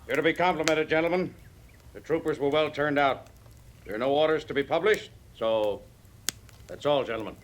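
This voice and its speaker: Guy acting proper cavalry officer, measured, voice a bit high, old radio western, faint noise. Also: lightly acted. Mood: formal. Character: formal male cavalry officer addressing his men. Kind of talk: 1950s radio drama acting.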